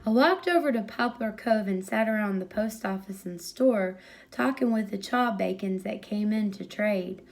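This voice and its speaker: A woman's voice: mid-range voice, moderate pace, creaky. A woman reading a short story aloud as a storyteller, in scripted narration.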